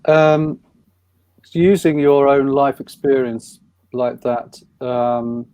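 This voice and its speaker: A man hosting an online panel event, introducing guests and asking questions in a somewhat halting way. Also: mid-range voice, steady tone, warm tone, no echo, muffled recording. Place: indoors on a live online video call.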